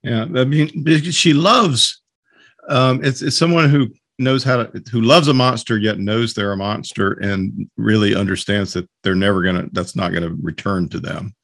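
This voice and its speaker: Low-voiced, hesitant man in a dry recording. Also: steady tone, fairly bright tone, no room sound. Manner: hesitant, halting phrasing. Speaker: interviewer, podcast host. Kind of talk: casual conversational interview.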